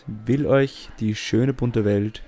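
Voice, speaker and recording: A man's voice: fairly low pitch, fairly even intonation, takes it slowly, mellow, faint music behind. A man from Vienna with a Viennese accent, talking casually as a podcast co-host. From Vienna, Austria.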